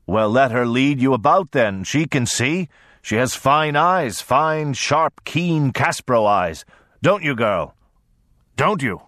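A man's voice: mid-range voice, lively intonation, slow, a bit bright, dry recording, studio quality, pitched above the performer's own voice. A man voicing a brassy, bullying old grandfather lord in an audiobook, boastful and mocking in manner. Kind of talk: audiobook character voice.

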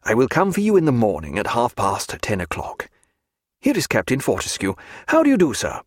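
A reserved gentleman doctor, as a male reader plays him, formal and measured yet lively in pitch. Dry, close recording. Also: dry recording. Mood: earnest.

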